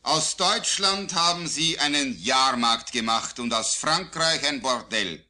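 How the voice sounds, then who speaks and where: A man reciting his own poetry solemnly, in a declamatory, deliberate way with phrases falling at the line endings. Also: mid-range voice, expressive, bright, forceful tone. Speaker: poet. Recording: digitized vintage vinyl record.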